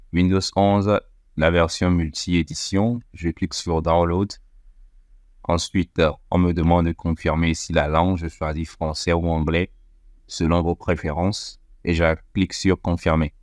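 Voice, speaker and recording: A man narrating a step-by-step software tutorial over a screen recording, methodical, with frequent hesitation fillers. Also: sits low, no echo, clean studio sound. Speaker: tech tutorial presenter.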